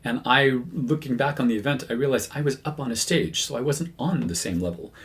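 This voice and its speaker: A man's voice: mid-range voice, brisk, fairly bright tone. A man who works as a medium, talking casually to his viewers with many fillers and self-corrections. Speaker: medium, channel host. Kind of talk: casual talk to camera.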